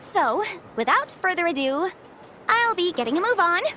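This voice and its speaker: A woman voicing a chirpy, mischievous young half-immortal legal consultant with horns in a video game, talking in a playful, breezy, animated way. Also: very high-pitched, normal speed, slight background noise, exaggerated voice. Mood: flustered, evasive.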